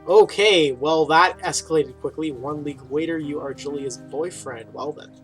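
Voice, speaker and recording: A man's voice: on the high side, normal speed, fairly bright tone. A man giving casual, rambling playthrough commentary on a video game, reading dialogue aloud with wry, informal asides. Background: game music.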